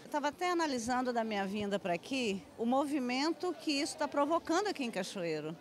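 A woman speaking thoughtfully and measured in an event interview, into a handheld reporter mic. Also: sits high, sing-song, slight background noise.

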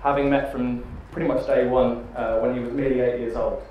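A man's voice: medium pitch, flat delivery, dull audio. British best man in an echoing hall. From Britain. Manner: quick, joking, chuckling between lines. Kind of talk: wedding best man speech. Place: large wood-panelled banquet hall, indoors. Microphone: handheld mic. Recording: very echoey hall with background noise.

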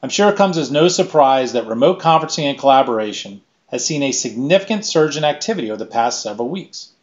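A middle-aged man delivers a scripted keynote at a measured pace, ticking through points one by one. His voice sits at an ordinary pitch, and the webcam audio from a small room sounds slightly dull and lo-fi. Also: animated. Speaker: keynote speaker. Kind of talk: scripted presentation.

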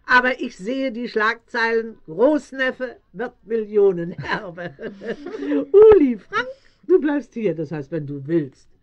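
A woman's voice: on the high side, sing-song, slow pace. Hearty older farm woman, swooping voice. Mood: emphatic, affectionate. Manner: temperamental, exclaiming, chuckling. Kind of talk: 1970s German radio play acting. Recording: dull vintage audio-drama recording.

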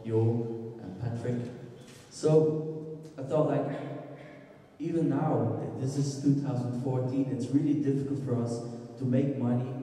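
A man's voice: medium pitch, mellow, distant mic. Belgian man lecturing in an echoey hall. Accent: Belgian Dutch. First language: Dutch. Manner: halting, restarts, searches for words. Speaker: musician giving a talk. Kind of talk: presentation talk on stage. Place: large dark auditorium stage. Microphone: handheld microphone. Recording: echoey hall, recorded from afar.

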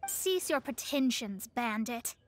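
Proud young lady astrologer for a game, high pitched, bossy and lecturing. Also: animated, slow pace, a little hiss or hum. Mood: scornful. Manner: imperious, lofty, formal lecturing. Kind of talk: video game cutscene acting.

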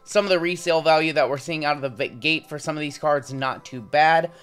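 A man in his thirties with a sore throat and a slightly raspy voice, chatting casually through a pack opening. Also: a little high, brisk. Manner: relaxed, chatty running commentary with frequent "uh"s. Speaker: card-breaking channel host. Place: indoor home studio with logo backdrop.

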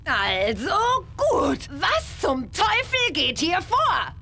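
Young alien heroine, sardonic, confident, game cutscene, fairly high voice, lively intonation. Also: female voice, drawn-out words, gravelly, slight background noise, heavily acted. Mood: indignant. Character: tough, sardonic young female alien inventor. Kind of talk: animated game cutscene acting.

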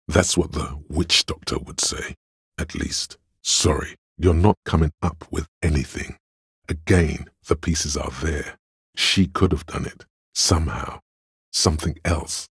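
A man voicing Logic as the calm, cerebral inner voice of a detective in a video game, measured, dry and analytical, with a raspy voice. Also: dry recording, heavily acted. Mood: level-headed, disappointed. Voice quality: very deep, gravelly rasp.

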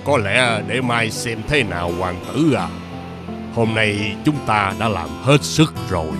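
A man playing a kindly old mandarin in an animated fairy tale, gentle and deferential. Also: on the low side, a little slow. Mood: calm, practical. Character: kindly old court mandarin posing as a grandfather. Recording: background music under the voice.

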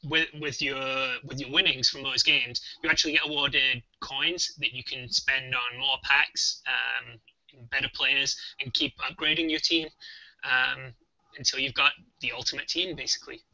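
Hesitant young man with a bright voice. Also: mid-range voice, steady tone, normal speed, vocal fry, no room sound, muffled recording. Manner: hesitant, many ums, laughs often. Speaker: game developer co-host. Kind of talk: casual live-stream chat.

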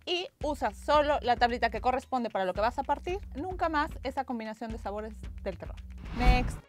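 A woman's voice: fairly high pitch, expressive, fairly quick, noisy background. A woman in her thirties hosting a playful kitchen gadget review, chatting to camera and tossing in sassy asides. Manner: chatty, sassy, asks herself questions and answers in asides. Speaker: lifestyle show host. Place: bright indoor studio set with kitchen gadgets on a table. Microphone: lapel mic. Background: background music.

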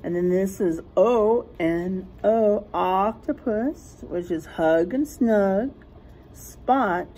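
Husky, slow-paced woman reading aloud to children. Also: medium pitch, warm tone, low-quality recording. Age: middle-aged. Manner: sing-song, deliberate, stressing rhyming words and letters. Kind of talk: read-aloud of a rhyming picture book with teaching asides. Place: small classroom, indoors.

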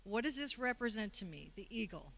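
Bright-voiced woman giving a Sunday talk. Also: mid-range voice, animated, fairly quick, creaky. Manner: conversational, asks the congregation questions. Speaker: speaker at a Sunday service. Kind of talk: sermon talk.

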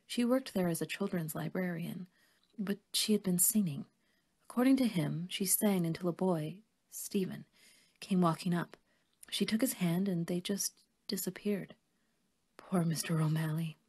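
A woman playing a kindly, worried older woman who believes in fairies, gentle and motherly in an audio drama. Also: on the low side, moderate pace, husky. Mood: sorrowful.

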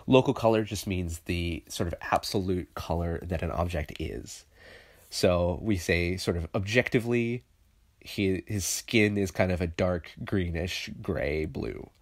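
Deep-voiced man with a stuffy cold. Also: normal speed. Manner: thinking aloud, loose and conversational with many fillers. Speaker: illustrator teaching digital painting. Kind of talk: relaxed tutorial voice-over while painting.

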